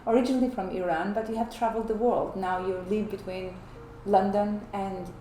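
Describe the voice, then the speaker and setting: A woman hosting an interview in a guest's flat, chatty and asking long, rambling questions. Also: medium pitch, fairly quick, soft background music. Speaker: interview host. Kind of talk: casual interview conversation. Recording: echoey room with faint noise.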